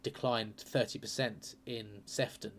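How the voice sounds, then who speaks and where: A man hosting a political channel, talking casually and hesitantly through by-election numbers, his voice a bit croaky from a cold. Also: medium pitch, level tone, measured pace. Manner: chatty, hesitant, lots of ums and uhs. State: croaky with a sore throat and cold. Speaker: political commentary channel host.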